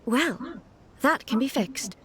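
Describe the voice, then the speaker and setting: High-voiced, regal game ruler. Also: female voice, animated, a bit bright, exaggerated voice. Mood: puzzled. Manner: stately, measured, formal. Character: regal, composed female ruler, curious and blunt. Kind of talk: video game voice acting. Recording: music behind the voice.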